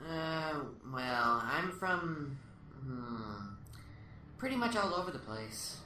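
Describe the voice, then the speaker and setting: A man's voice: fairly high pitch, slow pace, a little hiss or hum, full character voice. A man playing a smug, taunting killer. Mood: smug, mocking. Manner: mocking, calm, toying. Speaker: arrested suspect under interrogation. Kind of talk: amateur radio drama acting. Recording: roomy, distant mic, music underneath.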